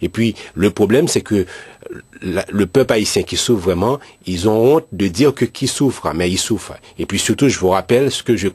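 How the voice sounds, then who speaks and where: A man who is a pastor, from Haiti, speaking earnestly and in a measured way about his country. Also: fairly low pitch, animated, a little mellow, slightly rough, no echo. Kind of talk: earnest commentary.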